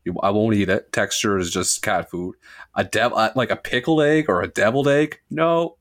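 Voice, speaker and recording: A man co-hosting a casual movie podcast, talking in excited, emphatic bursts. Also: fairly low pitch, normal speed. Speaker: podcast co-host.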